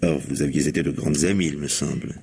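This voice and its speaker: A man's voice: rumbling low, fairly even intonation, fast, vocal fry, a little mellow, husky, heavily acted. A man acting a courteous but persistent police commissioner in a vintage French radio mystery, easing into questions with hesitations, then insisting. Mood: polite, probing.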